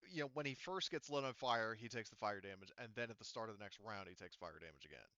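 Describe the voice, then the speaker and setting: A man's voice: lowish voice, lively intonation, quick pace, bright, forceful tone, dry recording. A man acting as game master, explaining tabletop rules in an insistent, explanatory way on a close mic. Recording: slightly dull, lo-fi voice recording.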